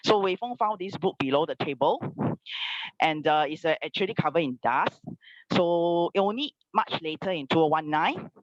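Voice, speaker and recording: A woman's voice: sits low, expressive, normal speed, no room sound. Lady sharing her story, polite and formal, dry lo-fi call audio. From Singapore. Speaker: guest speaker. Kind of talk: testimony sharing.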